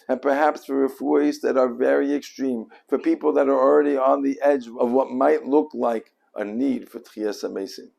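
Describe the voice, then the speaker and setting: An older man telling a personal religious story in an earnest, devout way with deliberate phrasing. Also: medium pitch, creaky, dark tone.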